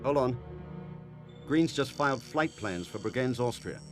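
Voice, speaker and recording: A man playing an alert, efficient intelligence aide in a video game, reporting briskly. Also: mid-range voice, animated, over music, close to an everyday voice. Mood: businesslike. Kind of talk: video game cutscene acting.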